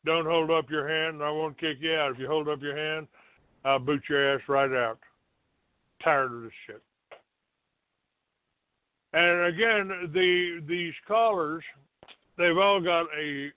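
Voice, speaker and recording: A male talk show host speaks slowly in a smooth, clear voice, halting as he grumbles through his monologue and reads out notices bluntly. The sound is thin and narrow like a telephone, with a roomy echo around him. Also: fairly high pitch. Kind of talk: rambling monologue, reading out a written notice.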